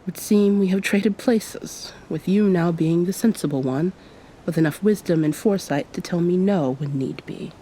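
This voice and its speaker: A woman playing an affectionate, teasing yet commanding succubus pirate captain in an intimate ASMR roleplay, softly spoken and often near a whisper, with formal old-fashioned phrasing. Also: lowish voice, normal speed, a little hiss or hum, close to an everyday voice, poor audio. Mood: tender, longing.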